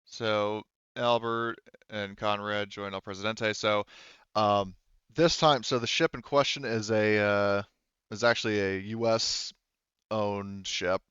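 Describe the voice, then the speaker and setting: A man with a fairly low voice works through a tabletop game scene as its game master. He talks haltingly, composing as he goes. The recording is dry and slightly muffled. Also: fairly even intonation, a bit bright, clear, resonant tone, dry recording. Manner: halting, thinking aloud. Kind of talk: narrating a tabletop roleplaying game.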